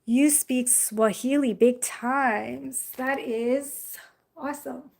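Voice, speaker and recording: A woman's voice: high-pitched, fairly even intonation, slow pace, gravelly. A young woman hosting a casual phone live stream, chatting with viewers in a rambling way and often saying "like" and "you know.". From Jamaica. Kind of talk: casual live chat reading viewer comments. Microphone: phone held in hand.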